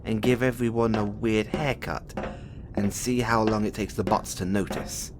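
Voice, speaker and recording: A man's voice: fairly low pitch, fairly even intonation, moderate pace, noisy background, lightly acted. A man voicing a playful, flirtatious male space demon who teases the listener in an audio roleplay. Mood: teasing, conspiratorial. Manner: playful, teasing, giggles often.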